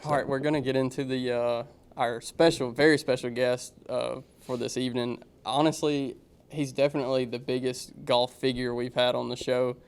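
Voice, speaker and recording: A young podcast co-host with a Southern US accent talks in a loose, rambling way. His voice is gravelly and full of fry. Its pitch is middling. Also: little pitch movement, moderate pace, slight background noise. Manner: rambling, restarts while introducing. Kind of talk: casual chat.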